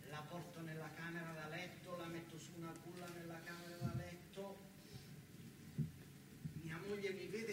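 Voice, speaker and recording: A man with a southern Italian accent performing a theatrical monologue as an exasperated, self-deprecating factory worker, talking colloquially and emphatically with repeated words. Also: sits high. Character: exasperated male car-factory worker and young father, talkative, self-deprecating. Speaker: stage actor performing a monologue. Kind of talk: theatrical narrative monologue in character. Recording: very echoey, noisy distant stage recording.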